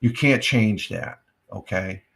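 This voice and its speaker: A man's voice: fairly low pitch, slow, creaky, fairly bright tone, husky. An older American man hosting a casual livestream chat, rambling with many fillers and restarts. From United States. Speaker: livestream host.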